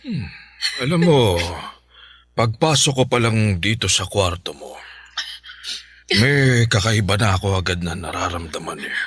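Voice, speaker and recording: An actor with a Filipino accent playing a grave older village folk healer in a radio drama, speaking slowly and ponderingly with thoughtful hums. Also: male voice, on the low side, expressive, fairly bright tone, husky, heavily acted. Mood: grave, probing.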